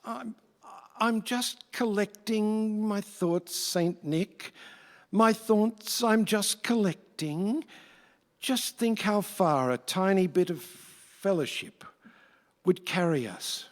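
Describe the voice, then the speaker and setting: A man plays a fallen Roman emperor turned meek, earnest and full of wonder. His voice is notably high and he takes his rhymes slowly, halting with theatrical politeness. It is a radio play heard in a reverberant hall. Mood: astonished, grateful. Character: humbled former Roman emperor Nero, earnest, wonderstruck, grateful. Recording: echoey hall with long reverb.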